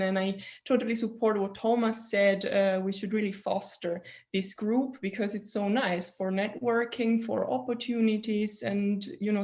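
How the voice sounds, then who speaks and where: A woman in her thirties with an Italian accent, a patent lawyer, speaking with enthusiasm over a video call. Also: medium pitch. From Italy. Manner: warm, enthusiastic alumni talk.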